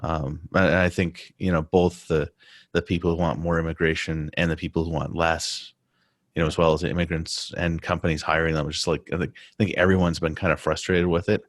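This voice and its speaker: A man from the United States with a very low, deep voice talks through a topic in casual conversation. He stops and restarts often and leans on fillers as he explains. The sound is close and dry, with no room around it. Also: little pitch movement, no room sound. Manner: halting, many restarts and fillers. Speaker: podcast co-host.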